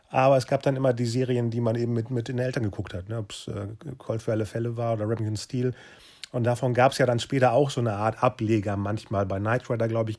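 A man's voice: mid-range voice, darkish tone. A middle-aged man hosting a podcast, chatting casually and asking his guest questions. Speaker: podcast host, storyteller. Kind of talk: casual interview chat.

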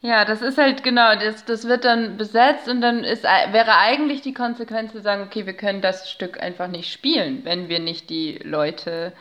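A woman's voice: medium pitch, steady tone, normal speed, hardly any pauses, fairly bright tone. A woman speaking as an expert podcast guest, explaining conversationally in academic wording with hesitant, thoughtful phrasing. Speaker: podcast guest, narratology scholar.

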